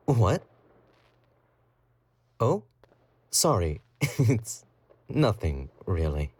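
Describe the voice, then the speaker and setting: A man playing an obsessive, possessive boyfriend in a romantic roleplay audio drama, doting yet menacing, with a breathy, intimate, cooing delivery. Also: sits low, darkish tone, exaggerated voice. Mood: unsettlingly sweet.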